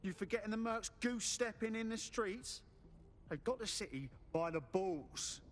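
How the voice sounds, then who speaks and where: A man's voice: sits high, animated, normal speed, a little hiss or hum. A man playing a wary, streetwise, blunt character who talks in slang in a video game. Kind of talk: video game cutscene acting.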